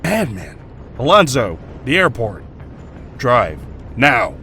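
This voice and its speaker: An actor voicing a sarcastic, imperious, anxious politician villain in a comic-book dub. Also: male voice, medium pitch, huge pitch swings, takes it slowly, exaggerated voice. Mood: urgent. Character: haughty, sarcastic, anxious male senator villain. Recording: loud noise behind the voice.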